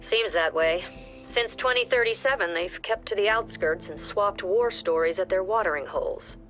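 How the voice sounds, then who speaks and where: An actress playing a composed woman commander, giving scripted mission briefings with measured authority in a tactical game. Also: medium pitch, a bit bright, lightly acted, poor audio. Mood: composed, authoritative. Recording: background music under voice.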